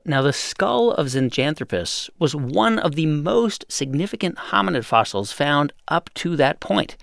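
Lively, gravelly-voiced male podcast host. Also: fairly high pitch, measured pace, dry recording, studio quality. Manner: lively, explanatory storytelling. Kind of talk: scripted narration and interview.

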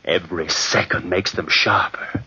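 A man's voice: sits low, steady tone, sharp tone, exaggerated voice. An actor playing an intense man sinking into murderous madness in old-time radio horror, drawing out his words between whispers and cries. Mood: sinister, intense. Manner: drawn-out, intense, whispering and crying out. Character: man slipping into murderous madness, obsessively listening. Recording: muffled, noisy, crackly vintage radio recording.

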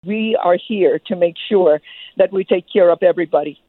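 A woman's voice: lowish voice, normal speed. A woman makes a public statement as a town official. The audio is thin and phone-like, with hardly any room echo. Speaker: mayor.

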